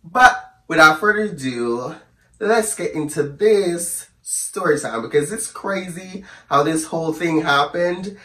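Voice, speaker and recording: A man with a hoarse voice from the flu, telling a storytime to camera in a chatty, sassy, rambling way and calling his viewers "girl". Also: on the high side, a little slow, pushed and bright, roomy sound, distant mic. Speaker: storyteller vlogger. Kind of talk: casual storytime chat to camera.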